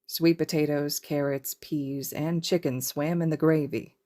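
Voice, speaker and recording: A woman's voice: deep voice, fairly even intonation, unhurried, dark tone. A woman reading a scripted story aloud as a fiction narrator. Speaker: podfic narrator.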